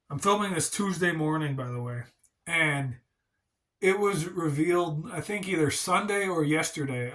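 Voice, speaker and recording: A man's voice: on the high side, fairly bright tone. A man hosting a casual wrestling review vlog, talking in a rambling, hedging way, congested and stuffy from a cold. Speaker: wrestling channel host.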